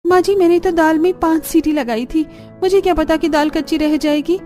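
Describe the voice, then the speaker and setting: A woman's voice: extremely high pitch, a little fast, dark tone, soft background music, voice pushed up. A woman voicing an exasperated young daughter-in-law who grumbles and complains in a cartoon story. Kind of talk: Hindi cartoon story acting.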